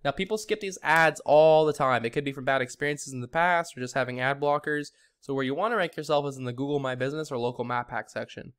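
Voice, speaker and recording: A man's voice: medium pitch, quick pace, few pauses, fairly bright tone, smooth. A man giving a casual, chatty and upbeat screen-share tutorial to a client, like a consultant reviewing a website. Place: screen recording voice-over.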